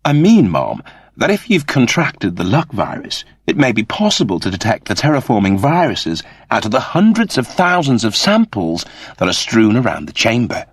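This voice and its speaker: A man's voice: mid-range voice, wildly swooping pitch, moderate pace, breathy edge, no echo, studio quality, full character voice. A man voicing a fussy, earnest service android with a precise, formal, explanatory manner. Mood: excited.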